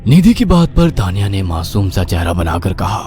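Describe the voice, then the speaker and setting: Low-voiced dramatic horror storyteller man. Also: big pitch swings, moderate pace, warm tone. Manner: dramatic, theatrical swings. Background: background music.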